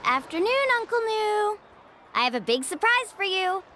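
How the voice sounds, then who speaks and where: A woman playing an upbeat, confident young female island guide in a video game, cheerful, earnest and energetic. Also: extremely high pitch, moderate pace, noisy background. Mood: bright, eager. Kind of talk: animated video game voice acting. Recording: music playing under the voice.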